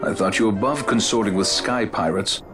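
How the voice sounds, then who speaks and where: A man's voice: on the low side, moderate pace, over music, natural voice. A man voicing a stern, lofty captain. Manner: formal, reproachful and lofty. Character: proud, stern captain, wary of pirates. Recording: game cutscene with loud score.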